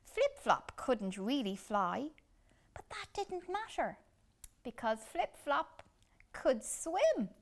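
Female storyteller for young children, animated intonation, slow delivery, dramatic pauses. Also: high voice. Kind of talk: expressive picture-book reading. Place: small furnished room indoors.

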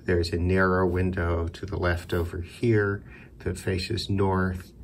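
A man's voice: low voice, gravelly, slight background noise. A man giving a casual, unscripted radio hobby demonstration, thinking aloud a little hesitantly. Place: indoors in a concrete block building.

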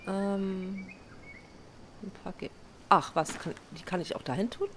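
A woman's voice: mid-range voice, steady tone, measured pace, slight background noise. A woman chatting casually to herself while she plays and crafts in a survival game, often poking fun at herself. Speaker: gaming video host. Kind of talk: casual let's play commentary.

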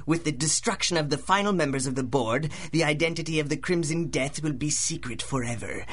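Husky, vengeful supervillain. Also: male voice, on the high side, fairly bright tone. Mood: grandiose. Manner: theatrical, menacing, deliberate. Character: vengeful male supervillain, grandiose, bitter, unhinged. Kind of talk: 1930s-style radio drama acting.

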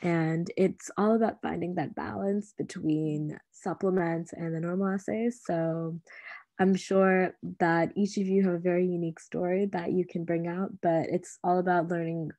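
A woman co-hosting a webinar, hoarse because she is losing her voice, politely thanking the speaker. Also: fairly low pitch, fairly even intonation, moderate pace, mellow, no echo. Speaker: webinar co-host. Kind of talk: webinar moderating.

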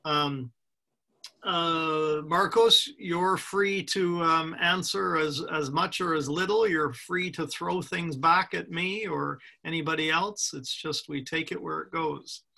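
A man in his sixties hosts an interview over a webcam video call from a room at home. His voice is fairly high, gravelly and bright. He talks in a chatty, friendly way, with plenty of fillers. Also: fairly even intonation, slow. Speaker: host.

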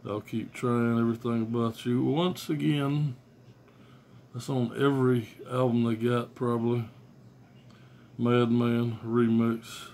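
Middle-aged man, blunt and profane, monotone delivery, faint room hiss, phone at arm's length. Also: mid-range voice, slow. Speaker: music collector vlogger. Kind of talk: casual rambling show-and-tell. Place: small bedroom indoors. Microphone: phone camera at arm's length.

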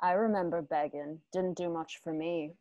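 A woman's voice: on the low side, fairly even intonation, normal speed, dark tone, no echo, lo-fi sound, natural voice. An actress playing a vengeful, scarred gunslinger woman in a Western radio play, with a folksy, profane, menacing manner. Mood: sadistic, mocking. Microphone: home video call.

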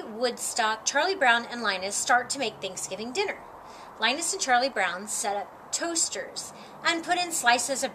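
A middle-aged woman reading a children's picture book aloud with animated expression, acting out the dialogue. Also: high-pitched, slight background noise, low-quality recording. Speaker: storytime reader. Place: outdoor patio with lattice and ivy.

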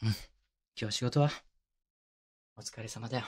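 A young boyfriend, played by a male voice actor, speaks up close in a mid-pitched voice. He is tender and doting, with a teasing, mock-scolding edge and casual masculine phrasing. It is intimate binaural roleplay audio made for headphones. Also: fairly even intonation, normal speed, lightly acted. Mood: tender soothing. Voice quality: medium-low voice. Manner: casual, rough-edged masculine speech, soft laughs. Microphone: close binaural microphone.

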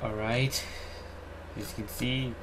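A young man hosts a casual how-to screen recording. He talks slowly and haltingly, often repeating himself, at an average male pitch. The home recording has clear noise and typing behind it. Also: flat delivery. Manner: halting, repetitive, says "alright" often. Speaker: YouTuber host. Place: indoor room at home.

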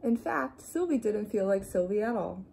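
A young adult woman reading a picture book aloud to young children, expressive and deliberate, with playful asides. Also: medium pitch, creaky, a little hiss or hum. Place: small room indoors.

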